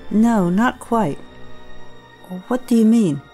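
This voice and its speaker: A woman acting as a concerned, probing school staff member in an audio drama, with a measured, coaxing manner. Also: fairly high pitch, expressive, dark tone, faint music behind. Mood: cautious, concerned.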